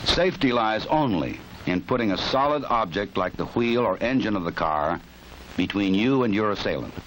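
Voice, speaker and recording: Casting: American male narrator, lowish voice, measured and authoritative, vintage training film with clear background noise. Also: animated, a bit bright. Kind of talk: 1960s instructional film scripted narration. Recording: noisy 1960s film soundtrack.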